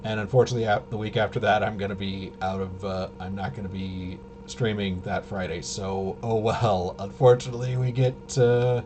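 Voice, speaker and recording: A man with a fairly low voice talks casually to his livestream. His delivery is unscripted and halting, wandering through half-finished sentences. A clear noisy hum sits behind his voice throughout. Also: level tone, a little mellow. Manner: halting, rambling, often trailing off mid-sentence. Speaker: livestream host. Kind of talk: casual unscripted stream commentary.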